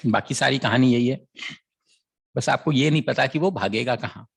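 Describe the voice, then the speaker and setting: A man's voice: mid-range voice, moderate pace, vocal fry, fairly bright tone, slightly rough. A man teaching maths, explaining a word problem as a story and checking often that students follow, with a sore throat. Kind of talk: classroom lecture.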